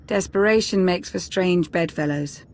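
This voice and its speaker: A woman's voice: on the low side, normal speed, a little mellow, slight background noise, natural voice. An actress playing a level-headed, pragmatic advisor in a video game, composed and dry in her speech.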